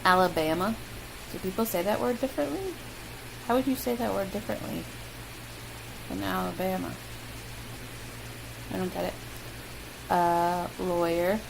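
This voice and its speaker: A woman's voice: on the low side, normal speed, gravelly, long pauses. A young woman with a Midwestern American accent, chatting casually through a word list with lots of ums and self-deprecating asides. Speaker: vlogger. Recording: loud steady hiss.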